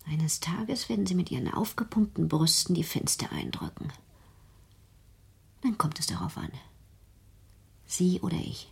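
An actress playing a fearful, reclusive daughter in a German radio drama, speaking in a hushed, brooding, intense way with a whispery voice. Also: female voice, lowish voice, big pitch swings, unhurried, long pauses, heavily acted. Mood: dark, probing. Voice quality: breathy, whispery. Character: fearful, paranoid, reclusive daughter haunted by persecution.

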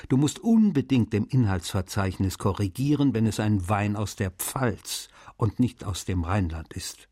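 A man's voice: lowish voice, lively intonation, measured pace, mellow, slightly rough, no room sound, clean studio sound. A man playing a cordial, precise writer, reading his letter aloud in a radio feature. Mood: measured, cordial. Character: French writer answering his translator friend, warm and precise.

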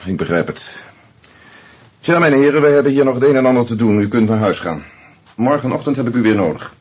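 An actor playing a methodical, polite but dry police commissioner in a radio play, speaking with measured, formal authority. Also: male voice, mid-range voice, animated, darkish tone, husky. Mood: measured, probing. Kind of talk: Dutch radio drama acting.

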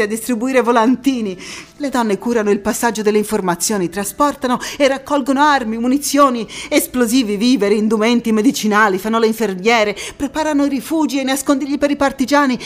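An actress playing a passionate wartime partisan woman in a theatrical monologue, phrasing every line with deliberate emphasis. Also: on the high side, close to an everyday voice. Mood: fervent, urgent. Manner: emphatic, deliberate phrasing swinging between hushed intimacy and fervour. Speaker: stage actress. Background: music.

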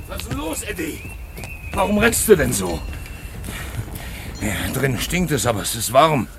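Hungry petty crook, radio drama, very animated pitch, blustering, excitable. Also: male voice, measured pace, background noise. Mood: grumbling. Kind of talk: postwar German radio play acting.

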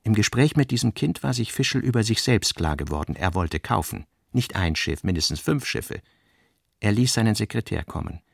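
A man narrating a literary radio drama in a measured, sober manner. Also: on the low side, lively intonation, creaky, dry recording, clean studio sound.

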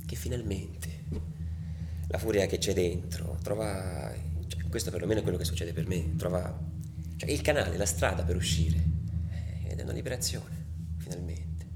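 An actor playing a passionate, embittered football ultra in a halting, colloquial confessional monologue for radio theatre. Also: male voice, deep voice, heavy background noise. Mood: cathartic, relieved. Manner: halting, colloquial, dropping to near-whispers.

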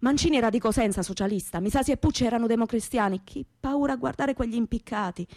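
A woman's voice: on the high side, fast talker, a little mellow, no echo. Earnest young Calabrian woman, dry close sound. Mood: agitated, terrified. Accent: Calabrian Italian. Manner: emotive storytelling in plain, dialect-tinged popular speech. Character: devout young working-class woman from Reggio, earnest, frightened witness. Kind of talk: theatrical first-person monologue for radio theatre.

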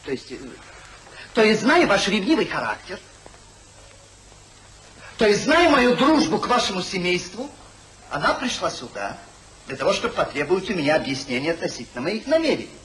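An actor playing a hypocritical, evasive gentleman schemer with over-polite, theatrical speech in a 1950s radio comedy. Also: high-pitched, very animated, moderate pace, pauses often, crowd noise, poor audio. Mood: anxious, placating. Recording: hissy 1950s radio play recording.

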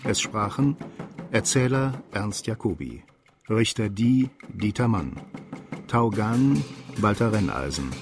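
Slow, low-voiced male radio announcer. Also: a little mellow. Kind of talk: scripted radio play credits announcement. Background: music.

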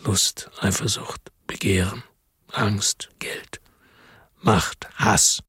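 Brooding husky male narrator. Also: on the low side, steady tone, extremely slow, pushed and bright, no echo, in a whisper, studio quality. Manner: brooding, introspective. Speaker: first-person narrator and lead. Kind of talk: radio drama narration.

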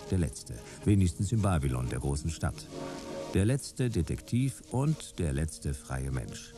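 Very deep, gravelly sardonic detective narrator. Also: male voice, full character voice. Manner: laconic, dry irony. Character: sardonic, world-weary male private detective, narrator. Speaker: first-person narrator. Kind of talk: noir radio play narration.

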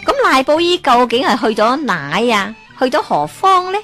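A woman with a Hong Kong Cantonese accent tells a folk tale in a lively way. She often turns to the listener with rhetorical questions. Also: fairly high pitch, normal speed, music in the background. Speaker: storyteller.

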